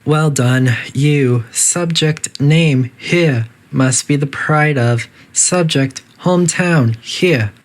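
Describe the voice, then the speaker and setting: Casting: performer to play game AI announcer, medium pitch, courteous but coldly menacing, deadpan, formal, measured delivery. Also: lightly acted. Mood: detached praise. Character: polite, coolly menacing test-facility AI announcer. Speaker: facility announcer guiding test subjects.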